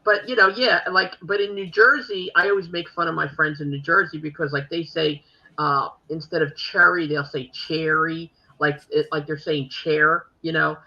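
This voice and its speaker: Woman with a Philadelphia accent, chatty podcast host, rambling, gravelly edge, muffled recording. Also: low-pitched, steady tone, moderate pace, pushed and bright. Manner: chatty, rambling, many fillers. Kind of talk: casual chat.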